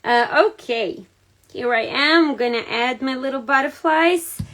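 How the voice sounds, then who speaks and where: A Serbian-accented woman hosts a relaxed craft livestream, talking unhurriedly in a slightly high voice. She chats to viewers by name, filling pauses with frequent ums and uhs. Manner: chatty, greets viewers by name, many fillers. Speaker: card-making host. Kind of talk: casual crafting livestream chat. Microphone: phone or camera on a livestream.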